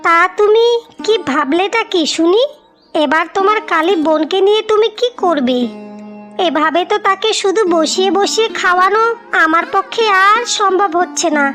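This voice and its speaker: Lady doing a mean village sister-in-law for a cartoon, really high voice, scolding and sarcastic, music behind. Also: moderate pace, a bit bright. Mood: nagging, scornful. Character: scornful, bullying village sister-in-law, married woman. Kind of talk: dramatic cartoon voice acting.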